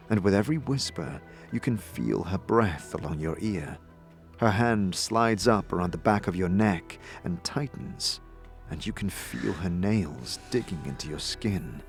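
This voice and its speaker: A man narrating a dramatic second-person story as a game master, in a measured, deliberate, atmospheric manner. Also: low-pitched, lively intonation. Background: music.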